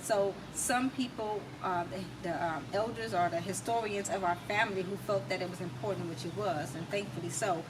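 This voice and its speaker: An American woman telling her personal story as an interview guest, emphatic and reflective, in short deliberate phrases. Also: mid-range voice, steady tone. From United States. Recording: distant mic, clearly noisy background.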